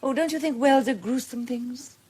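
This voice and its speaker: An actress playing a genteel, wistful British lady in a radio drama, expressive and relishing her words. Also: sits high, moderate pace, a little hiss or hum, natural voice. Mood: delighted, shuddering. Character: genteel, wistful, affectionate English lady visitor. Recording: outdoor birdsong ambience behind. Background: birdsong and outdoor ambience.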